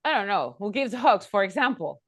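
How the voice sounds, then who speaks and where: A woman's voice: medium pitch, big pitch swings, brisk, breathy edge. Woman host, affirming, recaps guest's points, conversational. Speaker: podcast host. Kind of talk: conversational interview.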